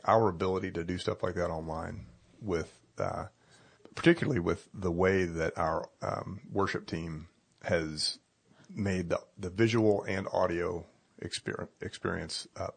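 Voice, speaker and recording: Hoarse, gravelly low-voiced pastor. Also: male voice, normal speed. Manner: chatty, animated storytelling with laughs. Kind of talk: casual chat.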